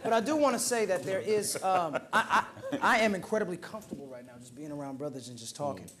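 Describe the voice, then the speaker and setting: A man's voice: sits high, animated, fast talker, background noise. A man in his twenties with an American accent, telling personal stories casually at a roundtable discussion. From Oakland, California, USA. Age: 20s. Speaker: roundtable participant. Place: indoor roundtable discussion at a museum.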